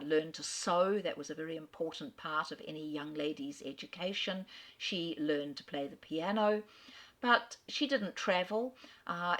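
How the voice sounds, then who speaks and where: A woman with an Australian accent giving an enthusiastic, measured lecture about a classic author. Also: fairly low pitch. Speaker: literary speaker.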